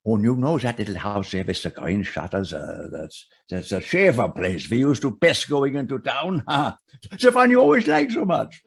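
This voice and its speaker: A very husky, eager farmer suitor. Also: male voice, mid-range voice, very animated, heavily acted. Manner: halting, repeats himself as he pleads. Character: eager, nervous, tender immigrant farmer in love. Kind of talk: old-time radio western acting.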